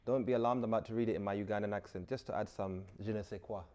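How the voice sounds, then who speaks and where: A man's voice: on the low side, fairly even intonation, very fast, darkish tone, clear, resonant tone. A man, hosting a casual podcast with upbeat, playful banter. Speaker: podcast host.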